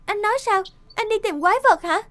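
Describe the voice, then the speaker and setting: A woman voicing a plucky, pleading young fairy-tale princess in an animated cartoon, eager and insistent. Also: very high-pitched, fairly quick. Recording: music behind the voice.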